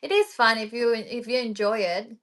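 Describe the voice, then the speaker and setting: Lady on a stream, kinda high voice, upbeat and giggly. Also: moderate pace, a bit bright. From Ecuador. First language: Spanish. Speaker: livestream guest, sound healer. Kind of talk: casual livestream chat.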